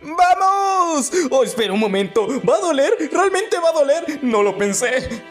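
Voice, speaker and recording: A man voicing an excitable, boastful, dim little robot core in a Spanish fan dub of a video game, chatty and power-drunk. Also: extremely high pitch, measured pace, full character voice. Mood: anxious. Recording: echoey reverberant hall, music behind.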